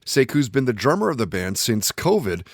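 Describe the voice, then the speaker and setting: A man's voice: medium pitch, sing-song, moderate pace, no echo, studio quality. A man hosting a podcast, delivering scripted narration that introduces a guest. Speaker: podcast host.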